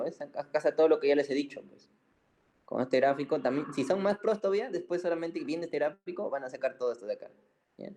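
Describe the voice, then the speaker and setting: A man's voice: mid-range voice, mellow. A man giving an informal medical lecture, colloquial and didactic, repeating key points and often checking in with short tags. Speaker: medical physiology teacher.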